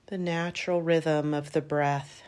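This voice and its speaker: A woman leading a guided meditation in a calm, gentle manner, in unhurried phrases with long pauses. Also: deep voice, clear, resonant tone. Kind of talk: guided yoga nidra meditation.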